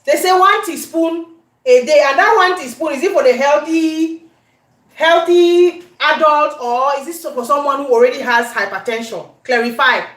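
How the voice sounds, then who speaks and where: A woman with a Cameroonian accent chatting casually to viewers as she cooks, animated and conversational, often repeating her questions and phrases. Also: high voice, normal speed. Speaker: cooking vlogger. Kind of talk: casual live chat while cooking. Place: indoor home kitchen. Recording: echoey room.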